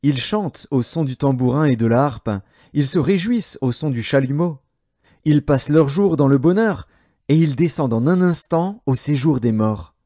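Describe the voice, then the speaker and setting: Higher-voiced man solemnly reading scripture, softly mellow. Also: lively intonation, normal speed. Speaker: audio Bible narrator.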